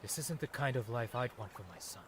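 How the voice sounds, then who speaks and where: A man's voice: mid-range voice, expressive, fairly quick, noisy background, close to an everyday voice. A man playing a protective, earnest vigilante mentor in an audio drama. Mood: urgent, sincere.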